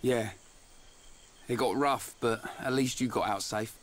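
An actor playing a brawny, loyal young warrior with a British accent and a blunt, informal way of talking in a video game. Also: male voice, medium pitch, unhurried, close to an everyday voice. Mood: dazed, concerned. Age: young adult. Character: brawny young male warrior, loyal, blunt, self-doubting but hearty. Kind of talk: video game cutscene acting. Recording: game music behind the voice. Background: game soundtrack music.

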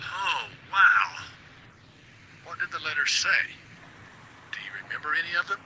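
Troubled male radio host, game drama, high-pitched and piercingly bright, high-strung and easily flustered. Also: sing-song, slight background noise, full character voice. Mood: sympathetic, heavy. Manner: halting confessions, exasperated outbursts.

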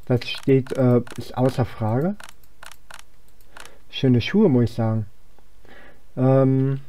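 A man's voice: medium pitch, takes it slowly, dark tone. A young man giving casual gameplay commentary, rambling and thinking aloud as he plays. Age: young adult. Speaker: let's player.

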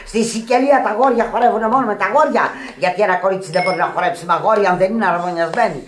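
A woman's voice: mid-range voice, close to an everyday voice. A roomy radio theatre recording of an actress playing a passionate Sicilian widow. She is proud and grieving, speaking lines effusively with Italian words mixed in. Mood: indignant. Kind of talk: Greek radio theatre acting.

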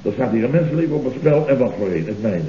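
An actor playing a panicky, self-important boss in a Dutch radio comedy, stammering and repeating words emphatically, with a Netherlands accent. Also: male voice, medium pitch, expressive, fast, mellow, close to an everyday voice. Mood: blustering. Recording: dull, noisy, roomy old radio recording.

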